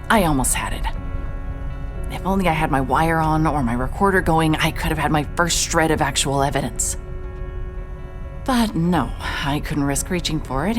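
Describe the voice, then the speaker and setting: A woman's voice: deep voice, close to an everyday voice. A woman playing a wary, wry private investigator from the city, in audio drama dialogue and first-person narration.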